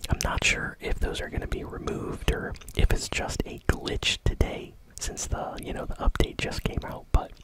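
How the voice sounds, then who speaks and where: Asmr gaming news host, all whispered, breathy n soft, kinda hesitant casual commentary. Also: normal speed, hardly any pauses. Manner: soft-spoken, hesitant, informal.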